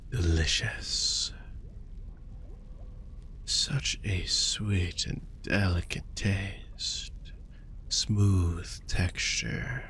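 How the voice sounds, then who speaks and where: A man playing a suave, possessive vampire fortune teller in an intimate audio roleplay, speaking formally and theatrically with breathy murmurs. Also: low-pitched, fairly bright tone, a little hiss or hum, exaggerated voice. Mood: seductive, hushed. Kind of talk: intimate scripted audio roleplay addressed to the listener.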